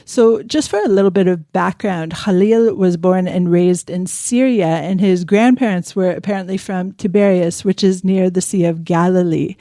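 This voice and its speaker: A woman hosts a news podcast with a mellow, warm tone and a measured, articulate delivery. Her voice sits close to the mic with a dry studio sound. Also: fairly low pitch, no echo. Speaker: podcast host.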